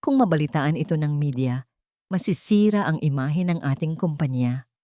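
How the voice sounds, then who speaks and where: A woman's voice: deep voice, expressive, moderate pace, dark tone, no room sound. Lady doing a callous rich husband, bossy and threatening, audiobook drama, dry sound. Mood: cold, scheming. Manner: commanding, threatening.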